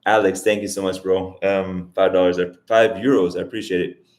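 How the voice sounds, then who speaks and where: A man around forty hosts a casual live Q&A, reading out viewer questions. His voice sits fairly low, and he rambles and restarts as he thinks things through aloud. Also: steady tone, moderate pace, darkish tone. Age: 40s. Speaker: livestream host. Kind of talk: casual livestream Q&A. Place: lives in Colombia as an immigrant.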